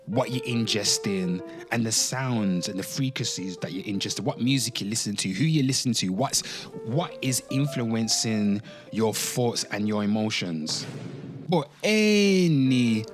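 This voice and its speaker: A man hosting an online show, giving a dramatic reading with off-the-cuff commentary, drawn-out exclamations and asides to his listeners. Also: lowish voice, animated. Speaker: host of an online pop culture show. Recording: music playing under the voice. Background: music.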